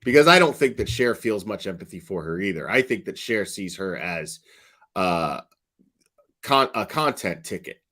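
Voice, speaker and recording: A man's voice: low-pitched, animated, normal speed, pushed and bright. A man giving casual, sweary reaction commentary in a blunt, mocking, sardonic manner. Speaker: commentator reacting to online true-crime streamers.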